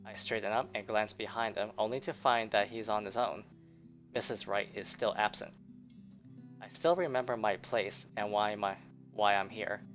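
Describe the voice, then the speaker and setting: A woman reading a visual novel aloud in a game playthrough, voicing the inner narration with quick reactions. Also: a bass voice, fairly even intonation, soft background music. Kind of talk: let's play narration.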